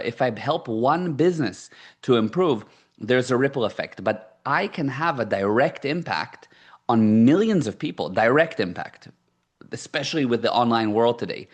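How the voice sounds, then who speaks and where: A middle-aged man born in South Africa whose first language is Hebrew, speaking as an entrepreneur guest in a casual podcast interview and stressing his points by repeating them. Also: mid-range voice. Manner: emphatic, repeats key phrases.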